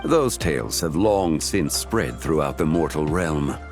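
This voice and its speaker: A man playing a calm, courteous, dignified god of contracts in a video game, speaking in a measured, formal way. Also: rumbling low, full character voice. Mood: calm, persuasive. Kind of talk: scripted video game voice acting. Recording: music under the voice.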